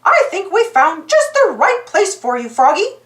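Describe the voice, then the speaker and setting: A woman voicing a cheerful, helpful male cartoon mouse, in a high, bright, eager tone. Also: big pitch swings, normal speed, heavily acted. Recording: roomy echo.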